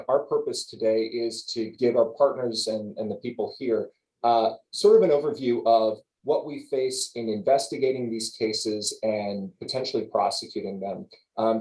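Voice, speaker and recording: Attorney guy on a video call, lowish voice, kinda apologetic, introducing the talk. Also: darkish tone, rough recording. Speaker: deputy district attorney.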